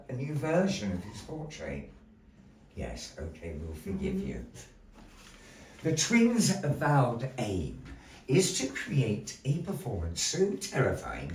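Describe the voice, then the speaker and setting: A man's voice: mid-range voice, a little mellow, slight background noise. A man narrating a live puppet show in a theatrical, playful way, chatting with the audience between parts of the story. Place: gallery venue, live show. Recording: echoey room, mic at a distance.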